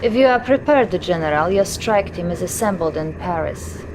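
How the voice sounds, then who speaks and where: An actress playing a dutiful Soviet military intelligence officer, giving formal briefings in a video game. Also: female voice, on the low side, animated, moderate pace, natural voice. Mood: coolly pleased. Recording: clearly noisy game sound behind.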